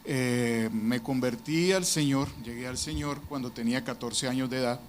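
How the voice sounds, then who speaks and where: A man's voice: medium pitch, moderate pace, slight background noise. A man from Colombia, giving an earnest, reflective personal testimony to a church congregation.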